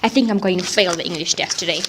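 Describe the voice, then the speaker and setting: A woman's voice: lowish voice, fast, a bit bright, slight background noise, natural voice, poor audio. A woman playing a slangy, self-doubting teenage schoolgirl in an audio drama. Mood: casual, grumbling.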